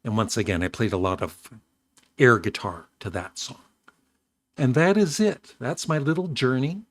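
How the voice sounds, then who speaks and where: An older man from Canada, hosting his own show, chatting casually and rambling a little, his voice a bit rough today. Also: medium pitch, moderate pace, no room sound. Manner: rambling, self-correcting, warm chuckles. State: slightly rough voice. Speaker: host. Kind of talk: casual vlog talk.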